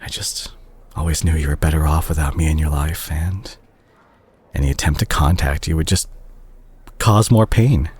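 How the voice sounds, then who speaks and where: A man playing a remorseful, tender doctor ex-boyfriend in an intimate audio roleplay, speaking softly and hesitantly to the listener. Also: a bass voice, normal speed, pauses often, breathy edge, heavily acted. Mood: apologetic, hesitant. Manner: hesitant, gentle, self-conscious, sighing. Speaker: audio drama voice actor.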